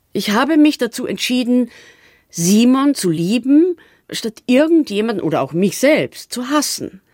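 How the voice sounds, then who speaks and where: An actress in a radio drama playing a woman who earnestly and deliberately justifies leaving for a new love. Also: fairly low pitch, darkish tone, breathy edge, no room sound, studio quality. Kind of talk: radio drama monologue and dialogue.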